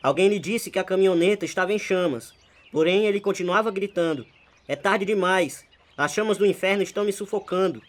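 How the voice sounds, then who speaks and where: A man's voice: sits high, brisk, lightly acted. A man acting as a humble, troubled hitchhiker in a radio drama, polite and earnest. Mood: haunted, earnest. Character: humble, troubled male hitchhiker seeking salvation.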